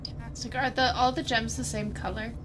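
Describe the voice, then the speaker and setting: A woman's voice: fairly high pitch, level tone, fairly quick. A woman chatting casually as a player in a tabletop game, tentatively thinking aloud as she describes what her characters do. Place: online livestream video call. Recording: clearly noisy background.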